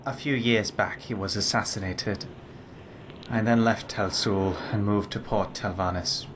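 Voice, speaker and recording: A man voicing a composed, scholarly lizard-folk lawman and spellcaster in a game, speaking formally and in measured, articulate phrases. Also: on the low side, fairly even intonation, slight background noise, natural voice, poor audio. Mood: reflective. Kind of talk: scripted game character dialogue.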